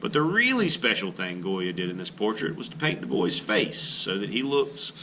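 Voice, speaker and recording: An art teacher reads a children's book aloud in a bright, crisp voice. He breaks in with his own asides for the class, and his pitch swings widely as he reads. A faint hiss sits under the recording. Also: normal speed, low-quality recording. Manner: expressive storybook reading with teacherly asides.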